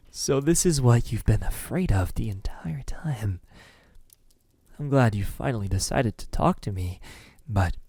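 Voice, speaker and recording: A man playing a possessive, teasing vampire in an intimate ASMR roleplay, speaking softly and breathily with frequent drops into a whisper. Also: medium pitch, animated, no room sound, clean studio sound. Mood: teasing, calm. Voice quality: breathy, often dropping to a whisper. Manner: slow, menacingly tender, teasing. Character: possessive, teasing, lonely male vampire in his castle.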